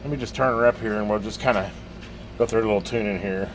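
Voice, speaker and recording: A man's voice: fairly low pitch, fast, background noise. A man giving a casual, hands-on demonstration as a video host. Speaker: radio restorer, video host.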